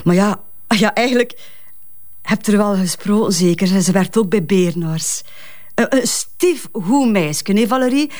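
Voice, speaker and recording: A woman's voice: medium pitch, unhurried, darkish tone, natural voice. An actress playing a grieving, protective mother in a Flemish crime drama, speaking hesitant, colloquial West Flemish with repeated words. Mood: wistful, fond.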